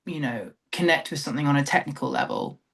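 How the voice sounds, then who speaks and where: A woman's voice: low voice, fast, creaky. A middle-aged British woman speaking reflectively as an interview guest, thoughtful and hesitant, often trailing off and rephrasing. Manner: thoughtful, hesitant, trailing phrases with frequent hedging. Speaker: theatre director, interview guest.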